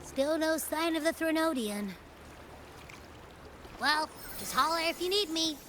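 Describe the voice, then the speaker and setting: Tiny companion creature, high-pitched, childlike, friendly, slow delivery, game dialogue, noisy ambience. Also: female voice, lively intonation, a bit bright. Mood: subdued, easygoing.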